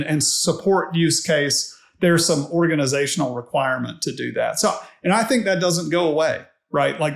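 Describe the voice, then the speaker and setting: A man giving measured, explanatory answers as a guest in a conversational interview. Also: a little high, gravelly. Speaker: podcast guest, tech executive. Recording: roomy, echoey sound.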